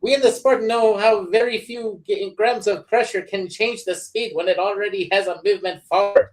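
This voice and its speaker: Guy doing a mad swedish curler, loud, clipped, fake swedish accent that sounds kinda german. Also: high-pitched, steady tone, moderate pace, voice pushed up, natural voice, rough recording. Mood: indignant. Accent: put-on Swedish, sounds German. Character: angry Swedish male curler, accusing.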